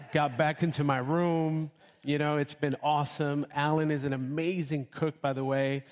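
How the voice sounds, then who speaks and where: Long reverb of a church hall surrounds a middle-pitched man in his late forties. He is a guest preacher from Honduras, speaking informally to a congregation. His delivery is conversational and somewhat halting, with phrases repeated for emphasis. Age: late 40s. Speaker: visiting preacher.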